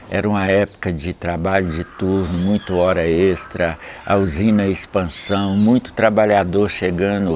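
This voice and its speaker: An older man speaks in a fairly low voice, in a measured and explanatory way. He often closes a thought with a short questioning tag. There is a faint hum of ambient noise behind him. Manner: measured, explanatory, with frequent "né?" tags. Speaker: capoeira master. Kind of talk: reflective documentary interview.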